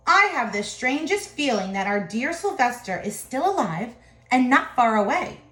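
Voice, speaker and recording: A woman's voice: on the high side, sing-song, moderate pace, pushed and bright. A woman voicing a storybook donkey mother who speaks with earnest yearning for her lost child. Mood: wistful, hopeful. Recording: echoey room.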